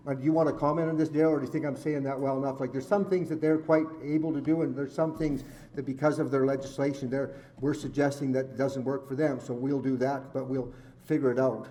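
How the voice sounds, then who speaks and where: Earnest rambling man, mellow. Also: mid-range voice, little pitch movement, fast talker. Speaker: community meeting chairman. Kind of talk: leading a community meeting.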